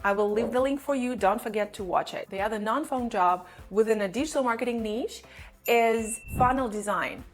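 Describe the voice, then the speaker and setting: A woman with a fairly high voice addresses viewers as an online video host. She is a non-native English speaker and explains things in a direct, instructive manner. Music plays behind her voice. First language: not English. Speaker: online video host, digital marketing agency owner.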